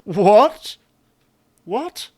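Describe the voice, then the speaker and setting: An actor playing an exasperated, put-upon husband in a comedy audio drama, bickering with indignant sarcasm. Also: male voice, high voice, big pitch swings, very slow, a little mellow, husky, dry recording, exaggerated voice.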